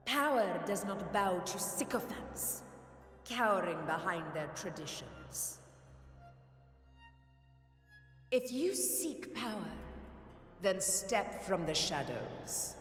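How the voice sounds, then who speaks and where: Proud, commanding warrior ruler over music. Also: female voice, medium pitch, echoey room, in a large hall. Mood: imperious, defiant. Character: proud commanding young female ruler, fearless and imperious. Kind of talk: anime game voice acting. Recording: game audio over background music.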